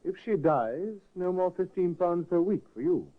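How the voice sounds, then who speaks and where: An actor in a 1950s radio drama playing a suspicious cousin and a meek servant, in the old radio acting style. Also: male voice, sits high, expressive, moderate pace. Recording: tinny old radio broadcast.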